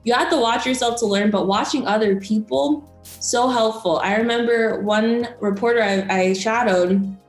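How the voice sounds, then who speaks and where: A woman's voice: fairly high pitch, normal speed. A young woman from Florida who works as a news anchor, giving chatty, encouraging advice with many fillers. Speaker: broadcast journalist and news anchor. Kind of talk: casual interview advice. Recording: roomy echo, faint music.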